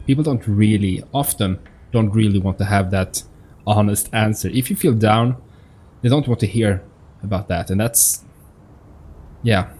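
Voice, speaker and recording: A man's voice: fairly low pitch, unhurried, long pauses, darkish tone. A man giving casual game commentary as a let's-player, hesitant and often repeating himself while searching for words. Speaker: gaming host, let's-player.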